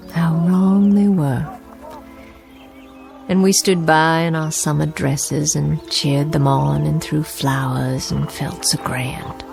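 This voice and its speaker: A genteel war widow. Her voice sits fairly low, gracious yet fragile and full of wistful reflection. Music runs under the radio drama. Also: vocal fry, heavily acted.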